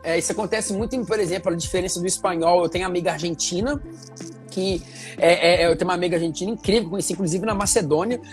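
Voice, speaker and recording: Chatty animated Brazilian host. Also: male voice, high voice, rapid-fire, faint music behind. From Minas Gerais, Brazil. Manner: chatty, animated, many fillers. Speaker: show host. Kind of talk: casual conversation.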